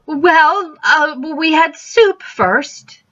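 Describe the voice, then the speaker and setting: A woman's voice: high-pitched, lively intonation, drawn-out words, fairly bright tone, exaggerated voice. A woman playing a flustered, genteel housewife hostess in a radio mystery, speaking hesitantly on a dull recording. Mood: shaken, hesitant. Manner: hesitant, fluttery.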